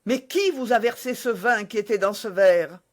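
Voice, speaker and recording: A reader voicing a passionate young swordsman who calls out loudly and urgently for help. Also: mid-range voice, normal speed, pitched above the performer's own voice, natural voice. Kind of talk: audiobook character voice.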